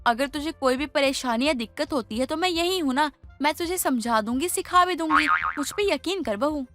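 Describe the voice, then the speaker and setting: Woman acting high-voiced, emphatic mother-in-law. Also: expressive, moderate pace, fairly bright tone, faint music behind. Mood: trusting, persuasive. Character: older mother-in-law, household matriarch, trusting but scolding. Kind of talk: dramatized Hindi moral story acting.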